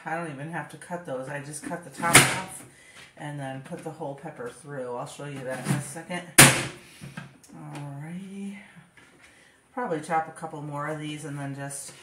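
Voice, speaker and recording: A woman with a stuffed-up, congested voice talks her way through chopping and bagging food in her home kitchen, filling pauses with easygoing ums. The room rings with echo, and steady background noise sits under her voice. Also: low voice. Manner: chatty, thinks aloud with fillers. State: stuffed-up nose. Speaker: home cook vlogger. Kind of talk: casual kitchen demonstration.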